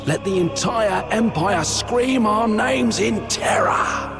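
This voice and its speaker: Grandiose, slow-speaking demon rebel leader. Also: male voice, sits high, gravelly, full character voice. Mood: impassioned, vengeful. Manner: theatrical, oratorical, deliberate emphasis. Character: grandiose, vengeful male demon rebel leader, persuasive and menacing. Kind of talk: dramatic video game voice acting. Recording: music under the voice.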